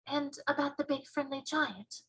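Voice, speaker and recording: A woman voicing a small young girl in a storybook, earnest and plucky, with a soft, polite, questioning way of talking. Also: sits high, moderate pace, voice pushed up, rough recording. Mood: anxious, questioning.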